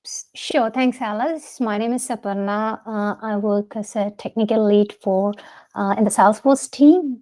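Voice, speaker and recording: A woman from India, a technical lead, introducing herself on a video call in a measured, slightly hesitant way. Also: medium pitch, a little mellow.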